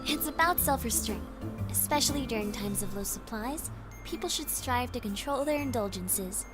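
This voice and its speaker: A woman's voice: on the high side, animated, normal speed, over loud music, lightly acted. An actress playing a self-assured, teasing young woman student in a visual novel game, with neat, composed, girlish delivery. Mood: earnest.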